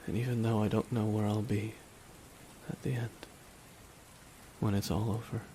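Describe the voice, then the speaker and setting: A man playing a grieving, resigned supervillain in a dramatic ASMR roleplay, speaking a slow, deliberate, solemn monologue with long pauses. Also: on the low side, little pitch movement, warm tone, slight background noise, close to an everyday voice, rough recording. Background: rain falling.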